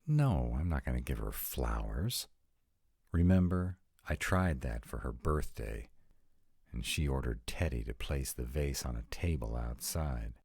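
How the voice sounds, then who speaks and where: A man acts out a sardonic male vampire in a roleplay audio drama, with an extremely low voice that swings expressively up and down. He takes his time over each exasperated, dryly emphatic line, recorded close and dry. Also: expressive, a little slow, darkish tone, slightly rough, no echo, exaggerated voice. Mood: anxious, sardonic. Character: sarcastic male vampire, exasperated, anxious about in-laws. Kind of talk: scripted roleplay audio monologue to a partner.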